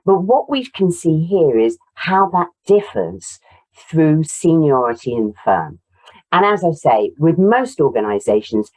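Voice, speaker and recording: A woman with a croaky voice presenting survey results in an online webinar, walking through slides over a video call. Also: low-pitched, a little mellow, poor audio. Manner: apologetic, walks through slides.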